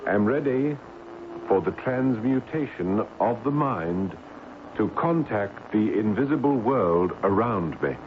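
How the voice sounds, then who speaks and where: An earnest young man speaks with devout ceremony in an old radio mystery. His pitch moves expressively, and the sound is thin and heavily hissy. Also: lively intonation, takes it slowly. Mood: reverent, hushed. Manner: reverent, polite, ceremonious. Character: earnest, devout young male believer in spiritualism. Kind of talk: old-time radio drama acting. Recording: tinny, very noisy old radio recording.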